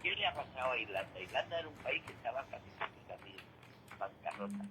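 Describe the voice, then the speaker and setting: A man's voice: medium pitch, animated, fast, background noise. A man giving opinionated, conversational radio commentary in an emphatic, explanatory way, often repeating his points. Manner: emphatic, didactic, repeats points and asks rhetorical questions. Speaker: radio commentator on current affairs.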